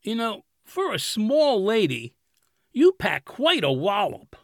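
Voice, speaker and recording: A woman's voice: medium pitch, wildly swooping pitch, slow pace, dry recording, clean studio sound, exaggerated voice. A woman playing a sore, curious neighbour in a comedy audio drama. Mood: dazed, impressed.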